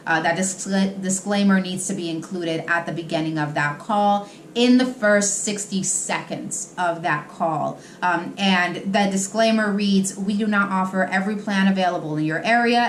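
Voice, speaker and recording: A woman hosting an explainer, answering common questions in an instructive, semi-scripted way straight to the viewer. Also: mid-range voice. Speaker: host, insurance industry advisor answering agent questions. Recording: roomy echo, faint background noise.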